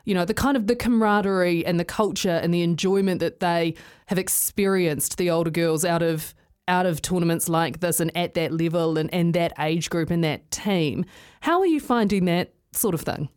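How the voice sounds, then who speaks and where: A woman presents a sports radio show in a brisk, upbeat way, moving through sponsor reads and guest introductions. Her voice sits slightly low for a woman. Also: darkish tone, clear, resonant tone. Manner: brisk, upbeat, reading promos.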